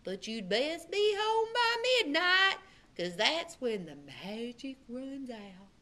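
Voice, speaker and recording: A woman's voice: sits high, big pitch swings, heavy background noise, heavily acted. A woman voicing a magical talking cow who acts as a fairy godmother in a storybook. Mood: soothing. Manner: very slow, drawn out.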